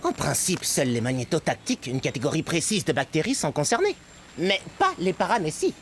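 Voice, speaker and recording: A woman's voice: deep voice, very animated, fairly quick, over music. A woman voicing an earnest, pedantic little microbe inventor in a French cartoon dub, explaining things precisely like a lecturer. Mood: intrigued, explaining.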